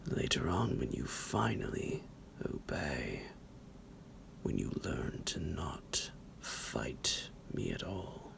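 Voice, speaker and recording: A sinister vampire doctor for an ASMR roleplay, speaks extremely slowly in a voice that swoops wildly between soft and menacing. He is possessive and condescending, with heavy gravel in his breathy tone and a theatrical, mock-affectionate drawl. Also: rumbling low, big pitch swings, heavily acted. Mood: smug, condescending. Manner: drawn-out, menacing, mock-affectionate with pet names.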